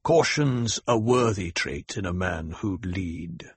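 Man playing a stern, deliberate desert chieftain. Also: sits low, expressive, husky, dry recording, full character voice. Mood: commanding. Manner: commanding, deliberate.

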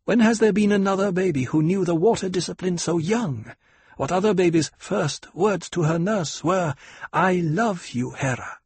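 A fierce, protective desert nursemaid who speaks loud and scolding in a raised voice, as a man narrating the storybook does her. Her tone is husky, and the reading is close and dry. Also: high voice, moderate pace, dry recording, pitched above the performer's own voice. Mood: proud, insistent. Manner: forceful and scolding.

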